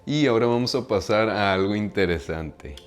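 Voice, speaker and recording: A man's voice: lowish voice, expressive, normal speed, clear, resonant tone, soft background music. A man from Mexico with a Mexican accent, talking casually to his viewers about self-improvement, often tagging his sentences with a questioning "right?" and using slang. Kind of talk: casual monologue to camera.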